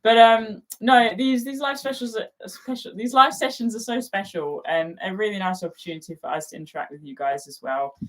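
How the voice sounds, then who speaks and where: A woman's voice: medium pitch, brisk, few pauses, a bit bright. A young English woman hosting a casual live chat, chatty and laughing often. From England. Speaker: host.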